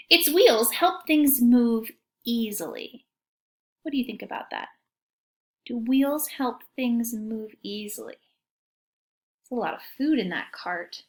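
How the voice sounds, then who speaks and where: A woman teacher reading a picture book aloud to children in an expressive way, pausing to ask them questions. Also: on the high side. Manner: expressive, child-directed, often asking questions.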